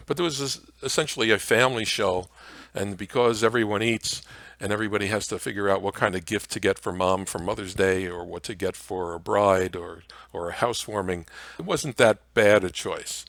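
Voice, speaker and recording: Low-pitched man giving a halting lecture. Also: vocal fry, fairly bright tone, no echo. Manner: halting, frequent uhs. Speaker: presenter. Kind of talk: informal slide lecture on old-time radio history.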